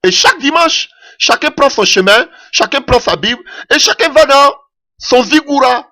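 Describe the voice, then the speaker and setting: A man's voice: very high voice, animated, moderate pace, sharp tone. A man preaching a sermon in French that is not his mother tongue, impassioned and punctuating his points with "Alléluia".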